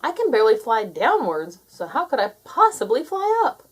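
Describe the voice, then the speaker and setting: A woman voicing an excitable young male seagull in a children's storybook, self-doubting but eager, with plaintive, dramatic exclamations. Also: a little high, normal speed, slightly rough, voice pushed up, full character voice. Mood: worried.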